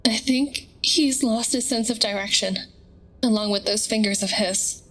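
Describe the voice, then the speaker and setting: A woman playing a wary, sharp-tongued crew member in an audio drama, skeptical and matter-of-fact. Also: on the high side, a little slow. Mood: suspicious.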